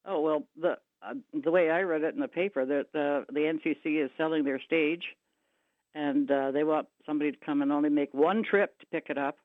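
A woman's voice: deep voice, steady tone, brisk, dry recording. A woman phones in to a radio show, her voice coming through a thin, tinny telephone line. She talks hesitantly, with plenty of fillers, and agrees readily. Her voice is close and dry. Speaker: caller.

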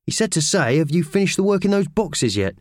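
A man acts a nosy postman from a children's storybook. He rambles chattily in a raised, very animated pitch, always inquisitive, recorded dry. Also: fairly quick, no room sound.

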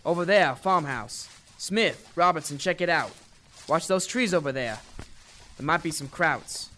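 Man acting a terse, high-voiced wartime army officer. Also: animated, unhurried, bright, forceful tone. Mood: commanding. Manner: terse, gruff orders. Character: commanding American army officer in World War II. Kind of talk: radio drama acting.

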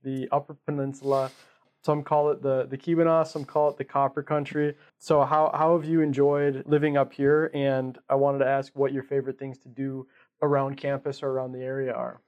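A man's voice: medium pitch, little pitch movement, a little fast, a little mellow, highs cut off. A young man hosting a campus interview show, asking questions in a friendly way with lots of fillers. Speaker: host.

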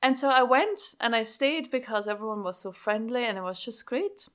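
A woman's voice: fairly high pitch, moderate pace. Woman, Swiss accent, young adult, pastor, earnest storytelling, interview guest. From Switzerland.

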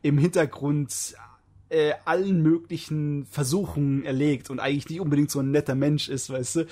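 A man's voice: on the high side, fairly even intonation, normal speed, a little mellow. A man talking in a casual, colloquial and jokey way in a group discussion, as a podcast host.

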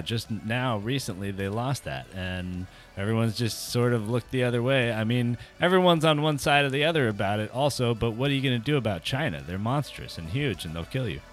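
An American man hosting a podcast, rambling through jokey opinions on the news and tossing rhetorical questions at listeners. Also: lowish voice. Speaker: podcast host. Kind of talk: casual comedic news chat.

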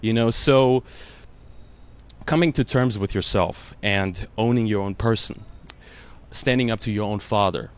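A man's voice: lowish voice, a little slow, clear, resonant tone. A man, an actor whose first language is Russian, answering a panel interviewer in an earnest, emotional way, full of "you know" fillers.

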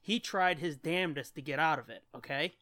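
A man's voice: fairly high pitch, fairly quick, pushed and bright. Irreverent, sweary man in casual chat. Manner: profane, irreverent, joking. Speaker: podcast co-host.

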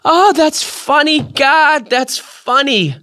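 A man's voice: extremely high pitch, animated, slow, vocal fry, pushed and bright, pitched above the performer's own voice, heavily acted. A man voicing a delighted, mock-protesting mother in a memoir reading, with sighing exclamations. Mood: amused. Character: narrator's mother, laughing, shrieking in delight.

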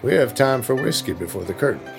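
A man's voice: mid-range voice, expressive, fast, gravelly. A man acting a casual, wry presidential bodyguard in a period drama, dry and offhand, over music. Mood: offhand, unconcerned.

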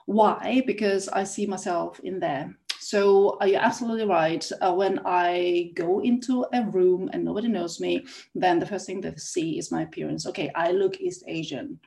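A woman's voice: lowish voice, fairly even intonation, moderate pace, vocal fry, a little mellow. A middle-aged woman from South Korea who grew up in Austria, speaking as a podcast guest in a reflective way with many hesitations. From born in South Korea, raised in Austria. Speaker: guest, intercultural consultant. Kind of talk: podcast interview.

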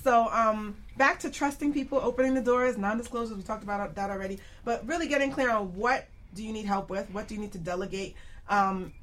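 A woman's voice: mid-range voice, a little fast. A woman hosting a casual radio panel discussion in a chatty, informal manner. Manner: chatty, informal, often laughing. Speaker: radio show host. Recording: clear background noise, room ambience.

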